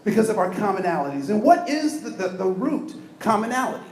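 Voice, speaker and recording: Energetic high-voiced male trainer, echoey room. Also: a little fast. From United States. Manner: energetic, asks the audience questions. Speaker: diversity trainer. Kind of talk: interactive training talk.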